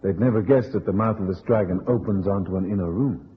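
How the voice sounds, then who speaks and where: A man's voice: deep voice, quick pace, close to an everyday voice. An actor playing a wisecracking, blunt male sleuth in an old radio detective drama, slangy, sardonic and pushy. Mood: sardonic, probing. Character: wisecracking, blunt tough-guy safecracker and sleuth. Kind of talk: golden-age radio detective acting. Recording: dull old radio recording.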